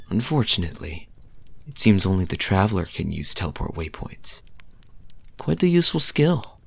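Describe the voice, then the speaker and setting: A man playing a charming, teasing young fantasy knight in an intimate audio roleplay, telling stories to the listener in a soft, breathy voice. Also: on the low side, heavily acted. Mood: teasing, amused. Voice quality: soft, husky, breathy at times. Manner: smooth-talking, teasing, unhurried storyteller with dramatic pauses.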